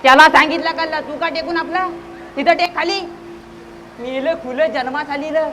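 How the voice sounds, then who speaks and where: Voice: high-pitched, steady tone, moderate pace, lightly acted. A performer playing a bossy, irritable village secretary in a comic stage play, scolding and barking repeatedly. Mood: bossy, exasperated. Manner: scolding, exasperated, repetitive barking. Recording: noisy live stage recording.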